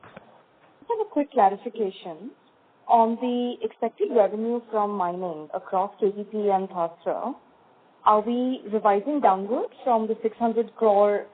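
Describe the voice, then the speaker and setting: A woman's voice: mid-range voice, measured pace, slightly rough. A woman putting direct questions as a caller on a conference call. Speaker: analyst caller. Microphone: phone. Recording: thin phone line.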